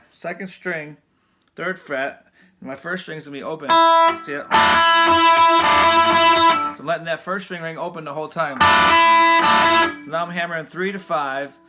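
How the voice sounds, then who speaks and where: A man with a fairly high voice giving a casual guitar lesson in a loose, rambling way. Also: rough recording. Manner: loose, rambling, restarts often. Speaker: guitar teacher. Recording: guitar playing loud behind him.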